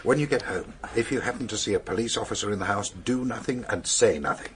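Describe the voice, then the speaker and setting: An actor playing a calm, confident police detective in charge in a radio drama, authoritative and matter-of-fact, giving clipped orders. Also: male voice, fairly low pitch, fast, slight background noise, close to an everyday voice. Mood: confident briefing.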